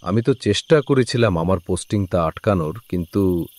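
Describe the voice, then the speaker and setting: A man playing an easygoing but firm husband and kindly uncle in an animated horror drama, talking in a reassuring, conversational way. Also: deep voice, brisk, dry recording.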